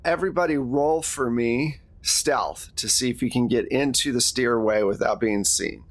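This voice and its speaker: A man's voice: medium pitch, normal speed. A man narrating a tabletop roleplaying game and hosting casually as game master. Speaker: game master and podcast host.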